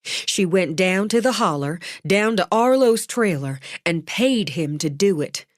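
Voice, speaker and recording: A woman's voice: medium pitch, animated, a little slow, dry recording, clean studio sound, close to an everyday voice. A woman voicing an angry young woman who speaks bluntly and earnestly.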